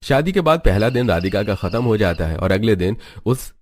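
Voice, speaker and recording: A man's voice: lowish voice, big pitch swings, moderate pace, darkish tone, slight background noise. A man narrating a scripted moral story as a storyteller.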